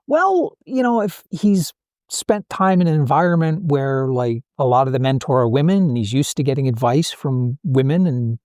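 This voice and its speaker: Animated male podcast host. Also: mid-range voice, normal speed, no echo, clean studio sound. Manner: animated, casual, thinks aloud with fillers. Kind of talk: interviewing a guest.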